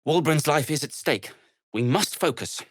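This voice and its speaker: An actor playing an earnest, worried male deep gnome tinkerer in a video game, with a dry, wordy and formal manner. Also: lively intonation, normal speed, fairly bright tone, no echo. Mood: solemn, resolute. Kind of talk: video game character dialogue.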